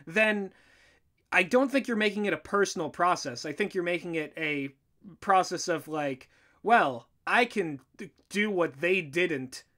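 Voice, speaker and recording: A man's voice: high-pitched, bright, forceful tone. A man co-hosting a casual discussion, giving opinionated critique in a conversational way. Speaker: podcast co-host and audio drama writer.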